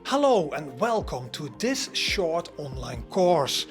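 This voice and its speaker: A man teaching an online course, explaining slowly and drawing out each example word. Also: fairly high pitch, big pitch swings, faint music behind. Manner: slow, careful explanations with drawn-out example words. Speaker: language teacher. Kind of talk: scripted online course lesson.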